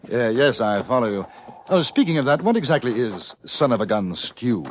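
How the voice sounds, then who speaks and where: An actor playing an urbane, formal British newspaper correspondent narrating an old-time radio western. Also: male voice, medium pitch, sing-song, normal speed, natural voice. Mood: curious. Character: refined British newspaper correspondent in the Wild West. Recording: noisy old radio recording.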